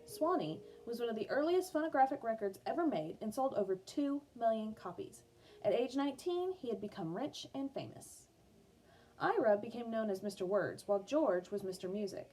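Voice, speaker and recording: A woman reading an educational biography aloud for children as a music teacher, in a clear, measured storytelling manner. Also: a little high, animated, warm tone, rough recording. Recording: music playing behind the voice. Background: background music.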